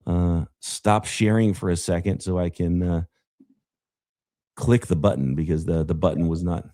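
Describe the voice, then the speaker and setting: Low mellow-voiced man, slightly raspy. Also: steady tone, normal speed. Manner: casual, thinking aloud while running a draft sim. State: slightly raspy, fighting a cough. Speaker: podcast host. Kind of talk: live podcast draft talk.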